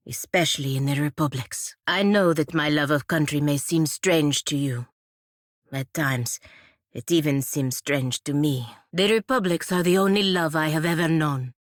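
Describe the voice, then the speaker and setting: A woman's voice: low-pitched, no room sound, clean studio sound. An actress playing a proud, stern patriot warrior woman in a fantasy video game, speaking formally and earnestly. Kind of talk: scripted video game character dialogue.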